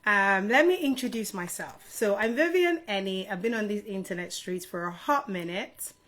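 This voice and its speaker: Casting: middle-aged Nigerian-born woman, livestream host, mid-pitched voice, informal and chatty, speaking straight to viewers. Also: moderate pace, fairly bright tone. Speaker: live stream host, HR career adviser. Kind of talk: casual live stream Q&A chat to viewers.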